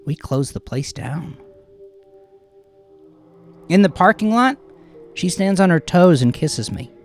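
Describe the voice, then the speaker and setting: A man narrating a first-person dramatic audio story in a confessional, conversational manner with dramatic pauses. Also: high voice, lively intonation. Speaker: narrator of his own horror story. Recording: music behind the voice. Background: background music.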